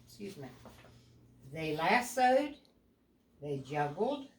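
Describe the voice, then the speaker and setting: An older woman reads a children's story aloud like a grandmother, deliberately, pausing to explain things to a child. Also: low voice, sing-song. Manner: deliberate, explaining, with stumbles over words. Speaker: grandmother reading a picture book to a child. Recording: echoey room with background noise.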